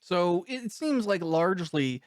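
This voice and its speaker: A man hosting an interview, putting his thoughts and questions in measured, somewhat formal phrasing. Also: high voice, sing-song, no echo, studio quality.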